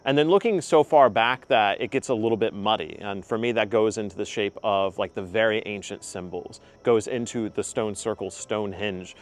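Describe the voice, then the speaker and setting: A young man hosting his own channel, giving heartfelt spiritual advice in a casual, earnest way, with frequent ums and uhs. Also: lowish voice. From United States. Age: young adult. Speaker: channel host.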